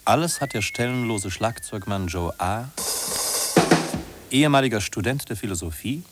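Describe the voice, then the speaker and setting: Radio drama narrator, played by a man: wry, theatrical, direct address, very lively intonation, fairly low register. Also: normal speed, over loud music, natural voice. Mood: storytelling. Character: wry male narrator guiding listeners through a big city. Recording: old radio play from cassette tape.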